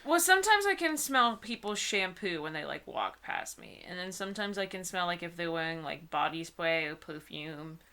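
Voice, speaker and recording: A woman's voice: fairly low pitch, expressive, normal speed, hardly any pauses, sharp tone. A young woman co-host in casual comedic chat, wry and slangy, hedging as she comments.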